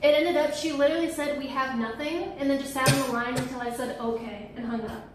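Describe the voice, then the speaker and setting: A woman's voice: high voice, fairly even intonation, fast. A woman in her twenties chatting casually on a livestream. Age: 20s. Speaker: streamer co-host. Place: small kitchen, indoors. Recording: roomy echo, faint noise.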